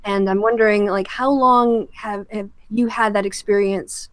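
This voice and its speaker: Female talk host, mid-pitched, conversational and measured, very dry recording. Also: fairly even intonation, dry recording. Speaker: host of an online video channel. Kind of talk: conversational panel interview.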